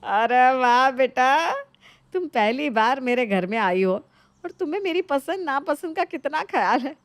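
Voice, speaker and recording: Lady doing naggy older saas in a story cartoon, really high voice, over the top gushy n complainy, dry sound. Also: animated, measured pace, no room sound. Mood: gushing. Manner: exaggerated, complaining, effusive. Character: nagging older mother-in-law, gushing over niece, scolding her own. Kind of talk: animated moral story dialogue.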